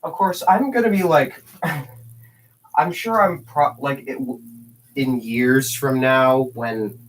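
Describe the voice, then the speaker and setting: A man's voice: medium pitch, slow pace, slight background noise, poor audio. A teenage boy chatting on a livestream, rambling and self-mocking with jokey asides. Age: teens.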